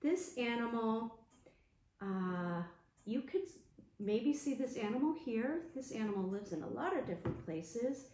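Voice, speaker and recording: A woman hosting an interactive storytime as a children's librarian, talking in an animated, sing-song way to small children. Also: fairly high pitch, measured pace, roomy sound, a little hiss or hum, poor audio.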